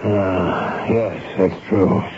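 An American actor playing a kindly, reasonable army major in a radio drama, speaking with educated, patient authority. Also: male voice, low-pitched, very slow. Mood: questioning. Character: kindly, reasonable army major commanding a fort, narrating his tale. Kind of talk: vintage radio drama acting and narration. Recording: dull, noisy 1970s radio broadcast.

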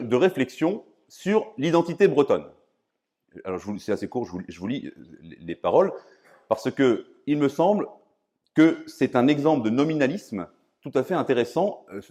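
A man from France lecturing on philosophy in a didactic, emphatic way, often pausing to search for words. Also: mid-range voice, a little mellow. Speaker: priest and philosophy professor giving a lecture. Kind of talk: public philosophy lecture, semi-improvised.

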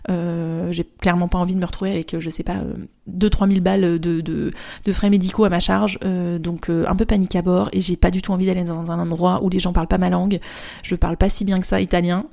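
A woman's voice: fairly low pitch, level tone, quick pace, gravelly, warm tone. A young woman from France telling a personal story in a casual, rambling way, with slang, fillers and restarts. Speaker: spiritual therapist and vlogger.

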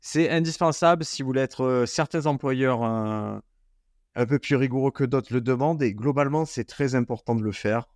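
A man's voice: mid-range voice, moderate pace, no echo, studio quality. A man hosting a casual podcast, talking informally with lots of fillers and restarts. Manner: chatty, informal, many fillers. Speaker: podcast host.

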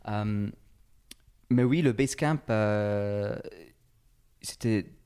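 A man's voice: fairly low pitch, very slow, a little mellow, clear, resonant tone. A man with an English accent, a fitness coach interviewed in French, speaking hesitantly with many fillers and restarts. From England. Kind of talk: casual interview, halting French.

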